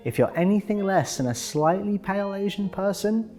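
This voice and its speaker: A man's voice: high-pitched, expressive, normal speed. A young man with a British accent, narrating a personal video essay with dry, sarcastic humor. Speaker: YouTuber. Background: background music.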